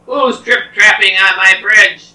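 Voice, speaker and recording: A storyteller voicing a loud, hungry bridge troll. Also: mid-range voice, takes it slowly, pitched above the performer's own voice. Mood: menacing, hungry. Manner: blunt, threatening, loud challenges. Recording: roomy, distant home recording, faint noise.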